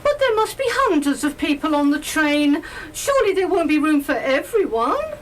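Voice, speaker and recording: A woman's voice: high-pitched, big pitch swings, moderate pace, a little hiss or hum. A woman acting a polite, questioning, faintly sceptical lady train passenger in an amateur radio play.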